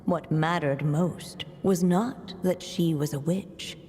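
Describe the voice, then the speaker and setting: A woman narrating a fairy tale in a video game with a measured storyteller cadence. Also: low voice, darkish tone, slightly rough, full character voice. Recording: long hall reverb. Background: faint game music.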